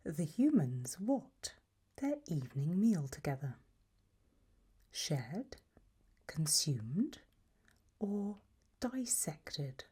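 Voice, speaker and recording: A woman doing a whispered ASMR roleplay as an examiner, hushed and deliberate, pausing between short phrases. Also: low-pitched, big pitch swings, breathy edge. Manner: slow, deliberate, softly instructive with long pauses between options.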